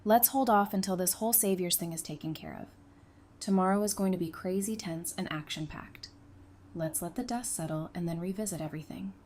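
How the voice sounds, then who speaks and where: A woman's voice: on the low side, fairly quick, a little mellow, a little hiss or hum, close to an everyday voice. An actress playing a sensible, affectionate, plain-spoken woman in an audio drama. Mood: caring, practical. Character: frank, teasing, sensible woman, affectionate with her sweetheart.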